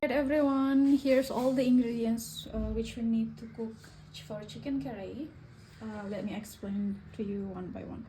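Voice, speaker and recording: A young woman from Indonesia walks through a home recipe slowly and plainly, in a friendly, step-by-step manner. Her voice sits a little high, and she is some way off the mic. Frying and sizzling can be heard clearly behind her in the kitchen. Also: steady tone, mellow. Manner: friendly, explains step by step with small laughs. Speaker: cooking vlogger. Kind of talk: casual cooking tutorial. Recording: distant mic, audible sizzling kitchen noise.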